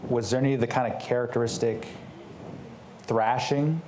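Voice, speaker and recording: Man, interviewer, tactful questions, mid pitch, faint background noise. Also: fairly even intonation, normal speed, a little mellow, reverberant. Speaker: host and interviewer.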